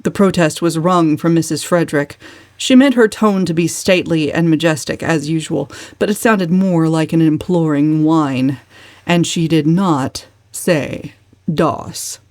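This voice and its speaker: A woman's voice: low voice, measured pace, darkish tone, no room sound. A woman giving a dramatic, scripted reading of a novel as its narrator. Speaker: audiobook narrator.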